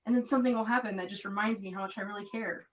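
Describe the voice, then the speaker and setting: A woman's voice: mid-range voice, steady tone, racing, far from the mic, natural voice. A woman playing an earnest, conflicted young American woman in an audio drama, confiding in natural dialogue. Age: young adult.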